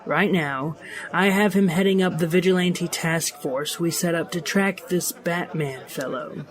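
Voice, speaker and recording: A man's voice: fairly high pitch, measured pace, close to an everyday voice. A man playing a smug, corrupt police chief in an audio drama, slick, dismissive and sure of himself. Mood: scheming, confident. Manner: slick, dismissive, self-assured. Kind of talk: scripted audio drama dialogue.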